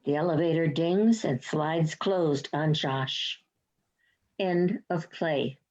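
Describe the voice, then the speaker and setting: A woman's voice: deep voice, slow pace, a little mellow, lo-fi sound. A woman reading stage directions as scripted narration for a play.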